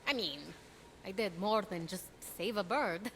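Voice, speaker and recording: A woman's voice: mid-range voice, unhurried, fairly bright tone, slight background noise, close to an everyday voice. A woman playing an eager, earnest young hero in a video game, conversational and keen. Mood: excited.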